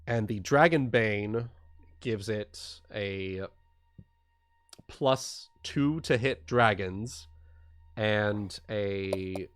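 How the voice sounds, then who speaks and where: Slow, low-voiced man running a game. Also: clean tone, no room sound, studio quality. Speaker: game master. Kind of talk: narrating and explaining a tabletop game.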